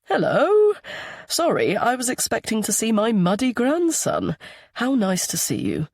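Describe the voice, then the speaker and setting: A woman's voice: fairly high pitch, huge pitch swings, measured pace, darkish tone, no room sound, pitched above the performer's own voice, heavily acted. A woman voicing a genial, gracious, joking older grandfather in an audiobook. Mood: genial, welcoming. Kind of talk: audiobook character dialogue.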